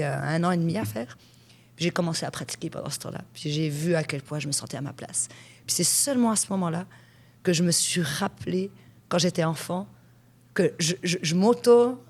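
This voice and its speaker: Lower-voiced Swiss-born woman telling her story. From Switzerland. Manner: chatty storyteller, frank and lively. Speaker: guest, hypnotherapist. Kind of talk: conversational interview.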